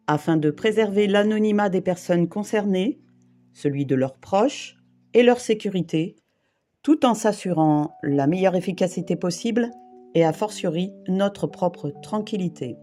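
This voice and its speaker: A woman in her fifties from the Paris region, reading a personal testimony in a measured, formal way. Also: fairly low pitch, mellow. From France, Île-de-France. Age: 50s. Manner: measured, formal, list-like delivery. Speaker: brief therapist and volunteer rescuer. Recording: guitar music behind.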